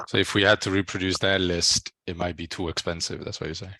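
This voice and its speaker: Guy in his 30s, deep gravelly voice, kinda tentative tech chat, dry headset sound. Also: flat delivery, fast, pushed and bright, dry recording, low-quality recording. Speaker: software developer on a working group call. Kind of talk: casual technical discussion. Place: small room at home, video call.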